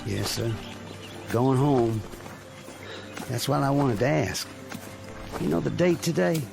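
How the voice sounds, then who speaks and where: A gravelly young Southern soldier. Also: male voice, medium pitch, lively intonation, slow pace, heavily acted. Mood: determined. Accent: American South. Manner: deferential, plain-spoken country talk. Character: polite, wounded young Confederate soldier, homesick. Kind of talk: radio drama acting. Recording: music behind the voice.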